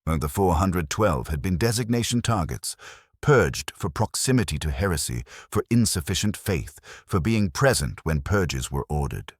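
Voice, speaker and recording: A man giving a solemn, measured, scripted first-person narration of a dramatic story. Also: sits low, lively intonation, breathy edge, no room sound, studio quality.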